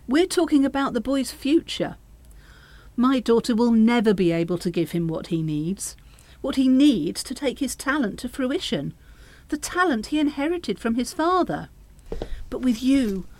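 An actress playing a practical, persuasive French mother of a grown daughter in a radio play, brisk and pressing. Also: fairly high pitch, darkish tone.

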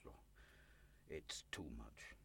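An actor in a radio play playing a proud, volatile, destitute storekeeper of sixty-eight who swings between indignation and despair. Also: male voice, slow pace, sharp tone. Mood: weary, contrite.

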